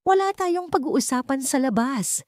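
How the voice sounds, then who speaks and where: A woman storyteller acts out an angry young male cousin in a radio-style drama. He is accusing and bitter, his delivery lively and confrontational, close and dry in sound. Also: high-pitched, normal speed, no room sound, pitched above the performer's own voice. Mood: shouting, angry. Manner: trembling with anger, confrontational.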